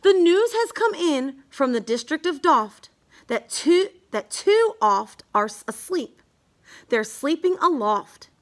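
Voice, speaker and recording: A woman reading a rhyming children's bedtime story aloud, halting now and then with small stumbles over words. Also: high-pitched, big pitch swings. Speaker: storybook reader.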